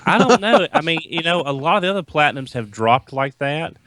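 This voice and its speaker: A man on a podcast panel chatting casually, halting and repeating words as he starts. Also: a little high, lively intonation, fairly bright tone, slight background noise. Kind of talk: casual chat.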